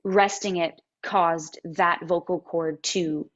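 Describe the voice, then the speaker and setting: Guest, female, 30s, faintly raspy voice, lively storyteller, dull lo-fi audio. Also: on the low side. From Canada and the United States. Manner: animated storytelling with quoted voices and halting restarts. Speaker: interview guest, singer-songwriter. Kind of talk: candid interview conversation.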